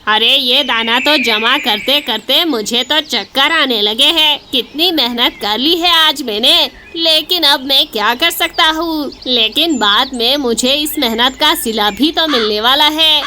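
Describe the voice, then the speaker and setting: A woman's voice: high voice, a little slow, few pauses, bright, forceful tone, a little hiss or hum, full character voice. A woman voicing an agreeable, diligent male bird, earnest and determined, in a children's cartoon story. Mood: weary, determined.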